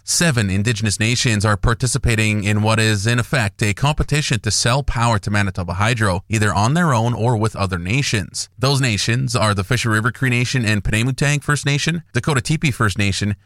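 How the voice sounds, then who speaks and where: Male radio news anchor, lowish voice, dry studio sound, steady scripted read. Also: no echo.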